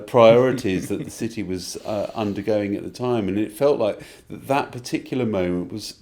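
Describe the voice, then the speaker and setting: A man with a deep, mellow voice. He talks as a writer in a casual interview, thoughtfully and haltingly, searching for his words. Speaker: writer interviewed about an audio drama.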